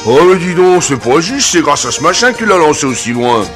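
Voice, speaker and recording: A man's voice: on the high side, very animated, brisk, over music. An actor playing a boastful, strong cave man hunter in a cartoon dub. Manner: boastful and indignant.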